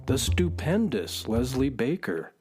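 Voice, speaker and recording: Female announcer for children's radio credits, slow, measured scripted read over guitar music. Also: extremely low pitch, sing-song. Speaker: announcer reading closing credits. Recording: music behind the voice.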